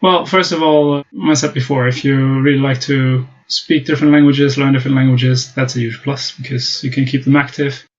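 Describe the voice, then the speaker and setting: German guy speaking english, flat even voice, lots of uhs, dull lo-fi audio. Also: mid-range voice. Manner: hesitant, many fillers and restarts. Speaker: guest. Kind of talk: casual interview talk.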